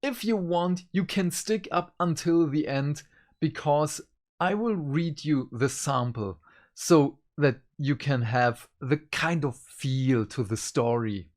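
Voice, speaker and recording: A host giving a casual review to viewers, speaking in an emphatic, deliberate way. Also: medium pitch, darkish tone. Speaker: book reviewer and channel host.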